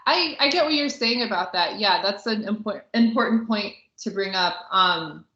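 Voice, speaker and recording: A woman's voice: mid-range voice, animated, normal speed, sharp tone. A woman podcast co-host talking casually and informally, feeling her way through her thoughts with lots of filler words. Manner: informal, hesitant, heavy use of "like". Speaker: podcast co-host reviewing a film. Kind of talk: casual conversational discussion. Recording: roomy, echoey, slightly dull lo-fi audio.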